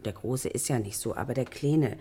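An actress playing a nosy, good-hearted neighbour and working mother in a German radio drama, chatty and colloquial, with a husky voice. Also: very deep voice, normal speed, warm tone, full character voice. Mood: earnest, concerned. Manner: chatty, colloquial, hemming before getting to the point.

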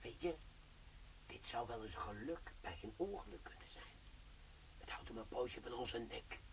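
An actor playing a gruff veteran bomb disposal sergeant who swears and lectures in a radio play. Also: male voice, mid-range voice, animated, moderate pace, slight background noise, lightly acted, rough recording. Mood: whispering.

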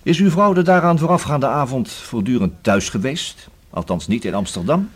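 Husky, probing police inspector. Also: male voice, mid-range voice, lively intonation. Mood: dryly ironic, insistent. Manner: measured, formal, probing, dryly sarcastic. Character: persistent, dryly ironic male police inspector questioning suspects. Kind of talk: Dutch radio play acting.

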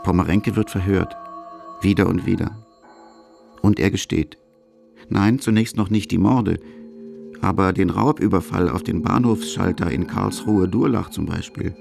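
A man's voice: deep voice, normal speed, creaky, pauses often, darkish tone. A man reading scripted narration for a radio documentary. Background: music.

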